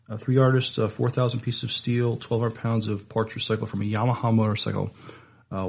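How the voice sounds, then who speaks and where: A man co-hosting a podcast, casually introducing items in a hesitant, halting way. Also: on the low side, gravelly, husky. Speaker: podcast co-host.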